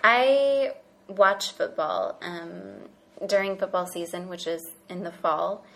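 A woman's voice: fairly low pitch, animated, slow pace, gravelly, a bit bright, low-quality recording. Hesitant young woman from Oklahoma. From Oklahoma, United States. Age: young adult. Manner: hesitant, many ums. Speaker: university graduate. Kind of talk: casual chat.

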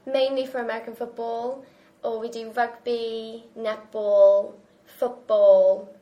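A woman's voice: a little high, level tone, takes it slowly, lo-fi sound. A young woman from Wales, a university student chatting casually and laughing often. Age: young adult. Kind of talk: casual chat.

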